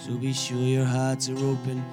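A man's voice: medium pitch, completely flat delivery, moderate pace. A man reading a rhyming podcast intro in verse, with guitar music behind him. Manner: reads rhyming verse, then breaks off swearing. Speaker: intro narrator.

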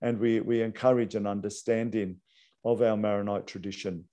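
A priest, explaining unscripted with hesitant fillers and restarts. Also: male voice, on the low side, fairly even intonation, moderate pace, warm tone. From Australia.

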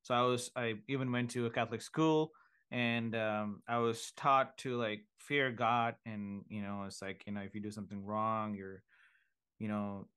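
A middle-aged man from Sri Lanka telling his faith story in casual chat. He speaks haltingly, pausing often with ums and uhs. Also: fairly low pitch, steady tone, a bit bright, smooth.

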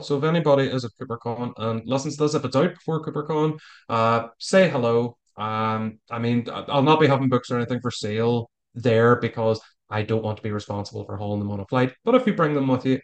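An Irish man talks as a guest on a podcast. He is casual and opinionated, making his points emphatically in everyday colloquial language with the odd mild swear. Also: medium pitch. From Ireland. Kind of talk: casual, opinionated interview talk.

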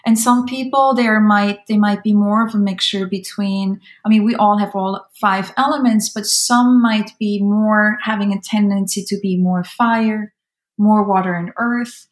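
A woman's voice: medium pitch, steady tone, unhurried. A woman in her thirties from Switzerland with a Swiss German accent, explaining ideas in conversation and sometimes searching for words.

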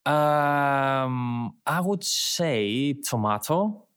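A man's voice: mid-range voice, expressive, very slow, fairly bright tone, smooth. Easygoing man with a slight Greek accent. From Greece. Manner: easygoing, laughs often, hesitant fillers. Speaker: chef, interview guest. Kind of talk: casual interview.

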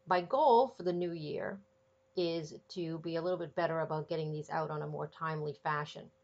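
Lady podcasting with a stuffy cold, low gravelly voice, kinda dull lo-fi sound, lots of ums. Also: steady tone, a little fast, darkish tone. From Boston, Massachusetts. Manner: chatty, frequent ums and uhs. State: congested from a cold. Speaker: podcast host. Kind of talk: casual podcast monologue.

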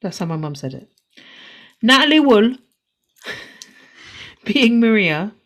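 A woman's voice: medium pitch, sing-song, slow, fairly bright tone. A woman in her forties co-hosting a podcast, chatting casually, laughing often and swearing freely. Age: 40s. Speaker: podcast co-host. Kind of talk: casual chat.

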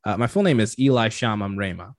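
A man's voice: fairly low pitch, lively intonation, brisk, no echo, studio quality. Laid-back young man telling stories. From Tanzania. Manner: laid-back storytelling, slangy. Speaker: podcast guest. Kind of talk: casual chat.

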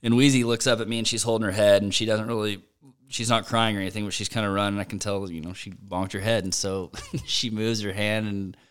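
Co-host, thirties male, low smooth voice, rambling comic story with swearing. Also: level tone, brisk. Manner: storytelling, swears often, mimics voices in quotes. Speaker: podcast co-host. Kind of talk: casual comedic chat.

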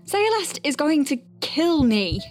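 A woman's voice: high voice, very animated, unhurried, faint music behind. An actress playing an anxious, wry woman medic piloting a tiny craft in a sci-fi audio drama, hesitant under pressure. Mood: scared, wry.

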